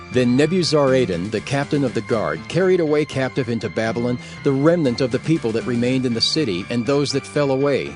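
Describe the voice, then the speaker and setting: A male narrator reads scripture solemnly in a mid-range voice. His delivery is measured and formal. Faint music runs underneath. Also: medium pitch.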